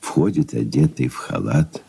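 A man narrating stage directions in a Soviet radio play, measured and unhurried. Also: extremely low pitch, lively intonation, vocal fry, warm tone, a little hiss or hum, exaggerated voice.

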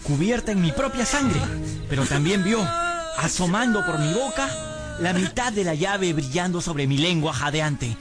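A man's voice: sits high, expressive, moderate pace, music in the background. An actor playing a battered, hapless young servant rogue who tells his own story wryly in a radio drama. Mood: rueful, wry. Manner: wry storytelling, whimpering and stammering when cornered. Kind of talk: dramatised first-person narration in radio drama.

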